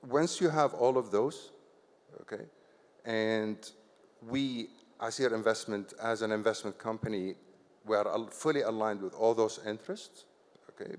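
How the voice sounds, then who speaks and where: A man's voice: fairly low pitch, big-room sound. A man on a conference panel, answering with many fillers and restarts and checking in with "okay". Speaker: investment company chief executive. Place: conference hall on stage.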